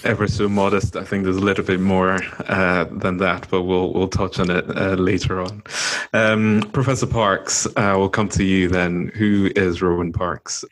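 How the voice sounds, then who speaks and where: A man's voice: low voice, flat delivery, moderate pace. A man from Nigeria hosting a podcast, interviewing guests in a conversational way.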